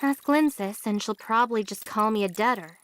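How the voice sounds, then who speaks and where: A woman's voice: on the high side, moderate pace, slight background noise, close to an everyday voice. An actress playing a young, determined female adventurer in an anime game, inquisitive and unimpressed. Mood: wry, curious. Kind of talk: English anime game voice acting.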